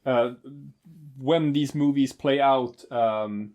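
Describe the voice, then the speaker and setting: A man's voice: mid-range voice, slow pace, darkish tone. A man co-hosting a casual movie commentary, rambling with lots of fillers and restarts. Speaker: podcast co-host.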